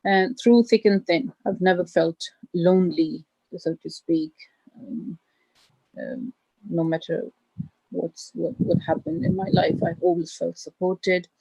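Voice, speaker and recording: A woman's voice: on the low side, steady tone, a little slow, creaky, dark tone, slightly rough, no echo. A woman from northern Pakistan, a guest lecturer answering questions modestly with many fillers. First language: Burushaski. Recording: dry, lo-fi call audio.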